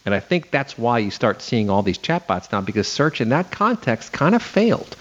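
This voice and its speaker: A man's voice: medium pitch, sing-song, moderate pace, a bit bright, highs cut off. A man hosting a talk radio show in a chatty, folksy way, putting rhetorical questions to himself and his listeners.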